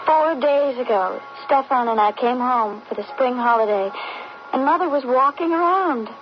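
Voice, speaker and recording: A woman's voice: high-pitched, animated, normal speed. A young woman acting an earnest, grieving daughter in an old-time radio drama, with emotive, theatrical delivery. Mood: subdued, concerned. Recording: dull, very noisy old radio broadcast.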